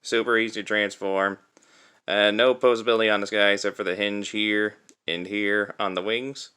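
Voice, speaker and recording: Man, young adult, bright forceful tone, smooth clear voice, casual reviewer, halting conversational delivery. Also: lowish voice, level tone. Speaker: toy reviewer. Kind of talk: casual unscripted product review. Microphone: cell phone used as camera.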